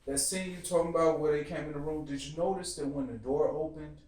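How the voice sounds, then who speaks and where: A man's voice: a little high, fairly even intonation, fast, a little mellow. A man hosting a casual, profane group discussion in slangy talk, animatedly telling his points and putting questions to the others. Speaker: host of a TV series discussion show. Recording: echoey room, distant from the mic.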